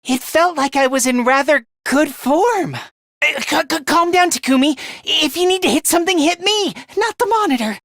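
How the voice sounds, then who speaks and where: A man's voice: very high voice, expressive, normal speed, vocal fry, fairly bright tone, no echo, clean studio sound, full character voice. A man voicing a timid, anxious, self-loathing young male student in an anime video game, with a thin voice and a stammering, whiny delivery. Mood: flustered.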